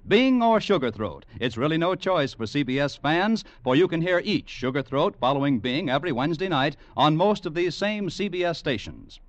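Male American network announcer, vintage radio promo read, animated higher-set voice, dry but dull old recording. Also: normal speed, hardly any pauses, no echo.

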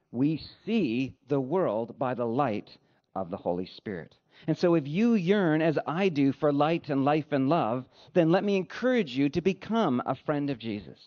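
A man delivering a sermon as a pastor, speaking in an earnest, conversational way with deliberate phrasing. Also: fairly high pitch. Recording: dull, lo-fi sound.

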